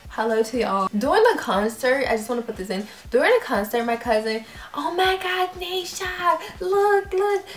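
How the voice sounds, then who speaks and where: Young woman, vlogger, high voice, casual storytelling, roomy echo. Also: normal speed. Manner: animated, acts out quoted reactions. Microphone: camera mic.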